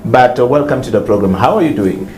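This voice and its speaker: A male host, welcoming a guest to his studio interview show in a measured, reflective way. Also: medium pitch, expressive, darkish tone, echoey room, slight background noise.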